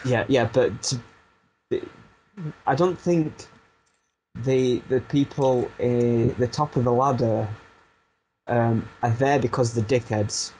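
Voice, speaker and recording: A man's voice: medium pitch, takes it slowly, pauses often, a little mellow, low-quality recording. A man in his thirties with a thick Barnsley Yorkshire accent talks casually and self-deprecatingly as an interview guest. He circles and restarts his thoughts. A faint noise floor sits under his voice. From Barnsley, England. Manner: rambling, self-deprecating, frequent restarts and fillers. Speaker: music producer turned coach.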